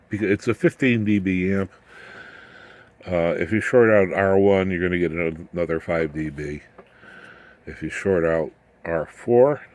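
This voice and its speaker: A man explaining electronics informally as a hobbyist presenter, in a folksy, rambling, slangy manner. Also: deep voice.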